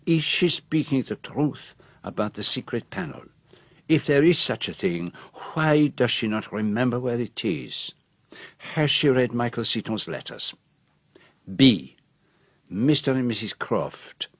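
An actor playing a fussy, shrewd older Belgian detective with a French-speaking Belgian accent, precise and theatrical in a radio drama. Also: male voice, mid-range voice, lively intonation, measured pace, no echo. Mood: methodical, probing.

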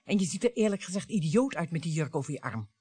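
An actress playing a cool, cutting French bourgeois wife and regretful mother in a radio play, with pointed, deliberate phrasing. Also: deep voice, big pitch swings, dark tone, husky, no echo, exaggerated voice.

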